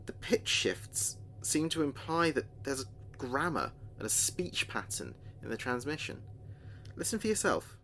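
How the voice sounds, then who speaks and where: A man playing an earnest space communications officer, recording logs in a measured, thoughtful way for a sci-fi audio drama. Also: medium pitch, sing-song, heavy background noise. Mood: intrigued. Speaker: communications officer keeping a mission log. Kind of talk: audio drama log narration.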